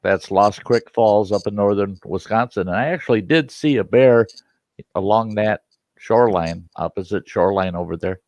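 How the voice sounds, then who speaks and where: A man with a lowish voice joking and telling a story on a casual livestream. He sounds close and dry, with no room echo. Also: moderate pace, a little mellow, dull audio. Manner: jokey, storytelling.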